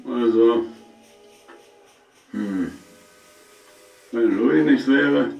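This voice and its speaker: An actor in a German radio play playing a cool, cynical schemer who talks in a deliberate, conspiratorial way. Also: male voice, medium pitch, creaky, highs cut off. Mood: scheming, guarded. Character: calculating, shady schemer, cool and cynical man. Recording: distant, echoey pickup with music behind.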